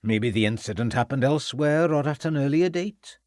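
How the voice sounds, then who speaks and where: A man voicing a loud, guarded male headmaster with a Welsh accent. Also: mid-range voice, animated, normal speed, no room sound, studio quality, pitched above the performer's own voice, lightly acted.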